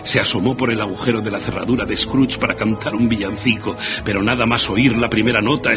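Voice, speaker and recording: A man's voice: lowish voice, lo-fi sound. A man narrating a scripted radio drama as a dramatic storyteller. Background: music.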